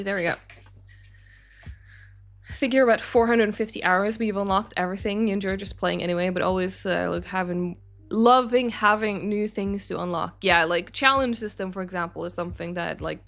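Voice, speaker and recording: A woman's voice: medium pitch, fairly quick, a bit bright. Chatty woman hosting a game stream. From Sweden. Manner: chatty, rambling, frequent fillers and self-corrections. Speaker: community manager hosting a game studio stream. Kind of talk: casual livestream chat reading viewer questions.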